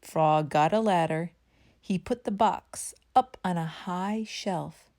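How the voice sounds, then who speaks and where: A woman storyteller reading a children's book aloud in a deliberate, expressive narrating style. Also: fairly low pitch.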